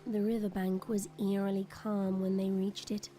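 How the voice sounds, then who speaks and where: A woman's voice: medium pitch, level tone, normal speed, warm tone. A woman reading scripted narration for an audio drama. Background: faint background music.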